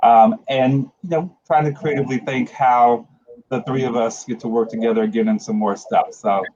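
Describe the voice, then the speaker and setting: A man's voice: medium pitch, steady tone, normal speed, a little mellow. A man chatting casually as a panel guest, heard through a muffled, noisy call. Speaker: guest, project partner.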